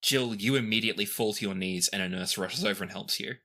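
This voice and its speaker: A woman's voice: very deep voice, fast talker, sharp tone. A woman running a tabletop game as game master, improvising the story aloud for her players.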